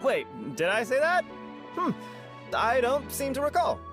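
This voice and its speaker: A boastful, chatty townsperson from a video game. The delivery is emphatic and swings widely in pitch, with faint music underneath. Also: very animated, a little slow. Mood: sheepish. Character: opinionated, boastful townsperson, loyal and forgetful gossip. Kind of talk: video game character acting. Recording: music behind the voice.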